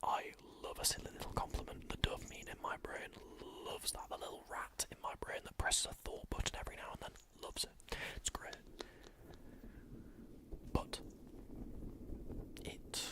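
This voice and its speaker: A man's voice: normal speed, long pauses. Whispering man rambling on a stream. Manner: soft rambling whisper, talking to chat, repeats himself. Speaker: ASMR streamer. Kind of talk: whispered ASMR stream chat.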